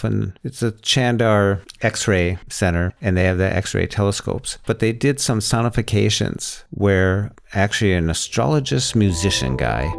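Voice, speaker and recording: A man with a low voice talks as a podcast host in casual conversation. His explanations are folksy and a little rambling, in everyday words. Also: vocal fry. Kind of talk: casual tech podcast chat.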